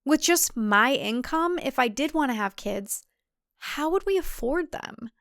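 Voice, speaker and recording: A woman's voice: sits high, animated, measured pace, fairly bright tone, no echo, clean studio sound, voice pushed up, heavily acted. A woman voicing a wry, conflicted city career woman, candid and a little exasperated, in a comic audiobook. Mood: doubtful. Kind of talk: comic thriller audiobook character voice.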